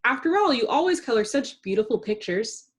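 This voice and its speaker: An encouraging, patient woman kindergarten teacher from a storybook, acted by a woman reader. She questions her class kindly, with very lively intonation. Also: a little high, a little fast.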